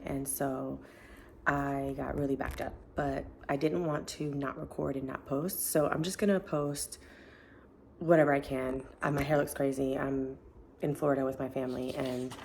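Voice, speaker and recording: Woman vlogger, low gravelly voice, chatty and encouraging, filler-heavy. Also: darkish tone, slight background noise. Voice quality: deep voice. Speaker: self-help vlogger. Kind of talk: casual talk to camera. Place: family home, indoors.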